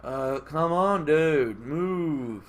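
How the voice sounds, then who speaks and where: A man's voice: fairly high pitch, expressive, very slow. A man with a nasal, congested voice giving casual, rambling commentary as he hosts a gaming video, musing aloud. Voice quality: nasal, stuffy nose. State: congested nose. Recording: clear background noise on mic.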